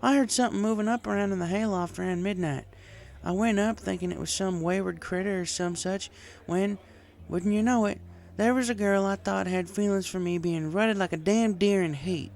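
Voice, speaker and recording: Here is a man acting out a young female farm pony from a cartoon world, nursing a broken heart. The voice sits high and, with a folksy, plain-spoken way of talking. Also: normal speed, gravelly, darkish tone. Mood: tipsy, amused.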